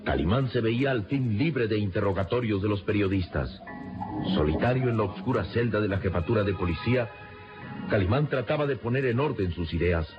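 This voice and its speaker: A man with a fairly low voice narrates a radio melodrama solemnly and theatrically. The recording is dull and lo-fi, with music clearly behind him. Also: lively intonation, fairly quick. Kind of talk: dramatic scripted radio serial narration.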